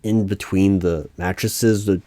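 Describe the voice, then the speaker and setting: A man performs an uneasy hiring manager from a secret bureau in an audio roleplay. His voice is low and he talks very slowly, with halting pauses and a disbelieving, guarded manner. Keyboard typing sounds behind him. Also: fairly even intonation, warm tone. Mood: shaken, disbelieving. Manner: halting, pausing, incredulous. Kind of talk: one-sided ASMR audio roleplay interview. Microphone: close microphone with keyboard typing behind.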